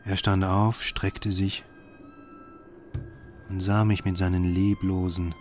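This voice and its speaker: Deep-voiced grim narrator. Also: male voice, little pitch movement, takes it slowly, darkish tone, faint music behind. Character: grim haunted husband and father narrating his horror. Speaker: narrator and lead in an audio drama. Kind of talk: scripted first-person horror narration.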